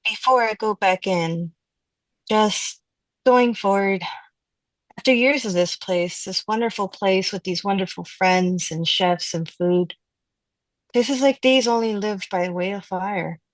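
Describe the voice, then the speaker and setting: A woman reading a wise, measured head chef. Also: medium pitch, no room sound, lightly acted, poor audio. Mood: earnest. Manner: slow, measured advice.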